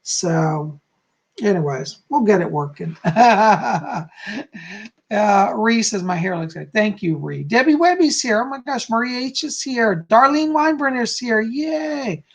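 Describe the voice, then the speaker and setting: Hoarse, husky man chatting on a live stream. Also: high voice, expressive, takes it slowly. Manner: chatty, effusive, frequent "okay?" and "you know". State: hoarse, a little raspy. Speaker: psychic hosting a live stream. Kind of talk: casual live-stream chat greeting viewers. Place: at home.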